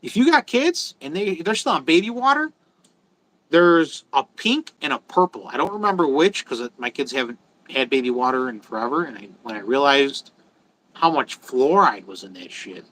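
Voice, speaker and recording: A man's voice: mid-range voice, big pitch swings, moderate pace, a bit bright, poor audio. A man talking casually and bluntly to viewers as a livestream host, rambling through opinions, repeating phrases for stress and often swearing.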